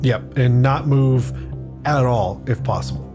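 Male game player, medium pitch, reasoning out his turn over light music. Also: measured pace. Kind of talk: thinking aloud during a tabletop game. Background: background music.

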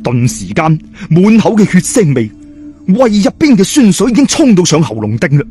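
A man's voice: high-pitched, big pitch swings, moderate pace, dark tone, soft background music. A man with a Cantonese accent narrating a scripted horror story in a dramatic, suspenseful storyteller's manner. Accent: Hong Kong or Guangdong Cantonese.

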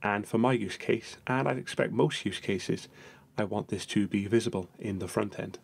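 Low gravelly man narrating a tutorial. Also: moderate pace. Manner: steady, explanatory, step-by-step.

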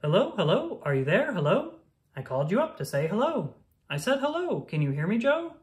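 A woman's voice: mid-range voice, sing-song, a little fast, darkish tone, voice pushed up, natural voice. A woman voicing a storybook creature calling out anxiously on a telephone, with exclaiming delivery. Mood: eager, calling out.

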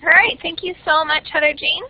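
A woman moderating a webinar, handing over to the next speaker with brisk, friendly directions. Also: very high voice, steady tone, no echo. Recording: slightly muffled dry webinar audio.

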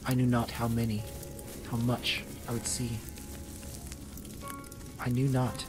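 A woman's voice: very deep voice, little pitch movement, slow pace. A woman voicing an ancient Norse spirit woman in an audio drama, with solemn, formal, archaic speech. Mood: solemn, awed. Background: music.

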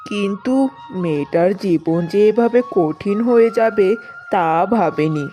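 A woman's voice: medium pitch, measured pace, warm tone, natural voice. A woman voicing an earnest, worried middle-aged village mother in a cartoon story. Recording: music bed under the voice.

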